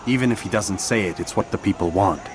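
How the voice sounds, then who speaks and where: An actor playing a stoic, proud assassin in a video game, terse, firm and earnest. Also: male voice, lowish voice, quick pace, close to an everyday voice. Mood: solemn, resolute. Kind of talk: dramatic video game acting. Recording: clear background noise.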